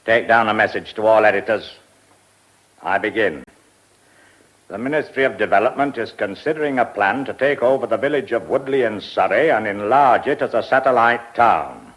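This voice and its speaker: An actor with a British accent playing an eccentric, brusque lordly press baron in a radio drama, speaking in clipped, mumbling phrases. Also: male voice, lowish voice, normal speed, fairly bright tone, lightly acted, rough recording. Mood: imperious.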